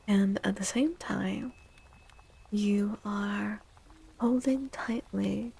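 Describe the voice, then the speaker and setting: A woman giving a tarot reading in soft-spoken ASMR style, gentle and reassuring, with a breathy voice that often drops into a whisper. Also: mid-range voice, rough recording. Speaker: tarot reader, streamer.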